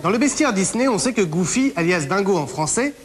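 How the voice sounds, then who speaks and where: A woman's voice: mid-range voice, expressive, brisk, over loud music. A woman co-hosting a magazine show, reading a scripted presentation in a playful manner. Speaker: TV co-host. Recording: hissy background noise.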